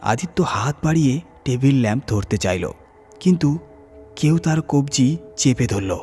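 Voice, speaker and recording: A man reading a scripted horror story dramatically, with suspenseful pauses and emphasis. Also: medium pitch, expressive, a little mellow, faint music behind. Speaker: horror story reader and channel host.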